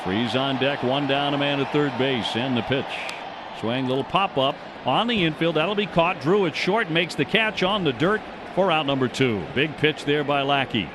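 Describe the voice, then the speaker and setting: A man's voice: on the high side, moderate pace. Male baseball radio announcer over stadium noise. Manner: descriptive, clipped phrases that follow the action. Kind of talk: live play-by-play commentary. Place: ballpark broadcast position. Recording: noisy outdoor stadium ambience. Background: steady outdoor stadium noise.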